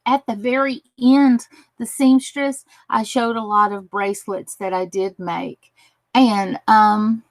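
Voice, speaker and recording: A woman hosting a craft livestream in a chatty, giggly way, greeting viewers, her voice a little croaky. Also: a little high, no echo. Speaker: crafting channel host. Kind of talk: casual craft tutorial livestream.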